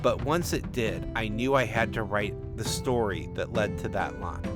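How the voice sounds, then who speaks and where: A man working as author and narrator, reading stories and commenting on his writing. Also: mid-range voice.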